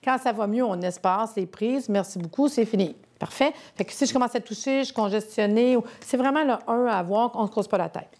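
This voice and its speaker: A woman's voice: a little high, fairly quick, few pauses, darkish tone. A woman with a Québécois accent presenting a sponsored health segment, chatty and persuasive. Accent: Québécois French.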